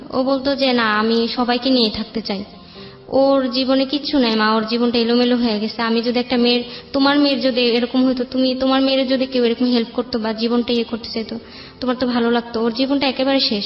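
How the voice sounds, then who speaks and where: A young woman with a Bangladeshi accent recounts her life in a colloquial, rambling way as an interview guest. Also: a little high. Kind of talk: personal storytelling in an interview. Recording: dull roomy sound, background music.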